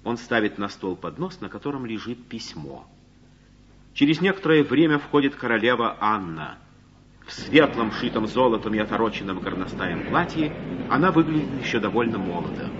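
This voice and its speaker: A man giving measured, formal scripted narration of the action in a stage play. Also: fairly low pitch, very animated, a bit bright. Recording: muffled old broadcast, faint hiss.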